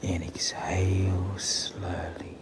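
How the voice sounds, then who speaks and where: A man guiding a meditation in a soothing, breathy near-whisper with long pauses. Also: sits low, level tone. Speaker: meditation guide. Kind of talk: slow guided meditation. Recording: clearly audible background ambient noise.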